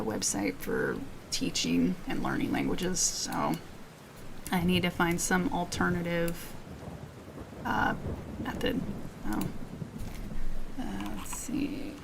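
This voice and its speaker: Female streamer, soft-talking ASMR chat, gravelly fry, meandering delivery; faint background noise. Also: fairly low pitch. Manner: quiet voice, rambling and self-interrupting. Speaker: VTuber streamer. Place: home streaming setup with storm ambience. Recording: faint background noise of storm sounds and typing.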